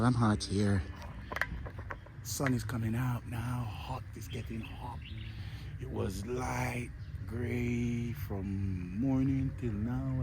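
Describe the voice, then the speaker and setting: A lowish-voiced Jamaican man rambles warmly to his followers, calling them his people, recorded on a phone as he strolls an outdoor garden. Open-air ambience sits clearly behind him, and he often slips into hushed, near-whispered asides. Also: background noise. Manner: chatty, addresses viewers as "my people", often drops to a murmur. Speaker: travel vlogger. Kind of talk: casual walking vlog.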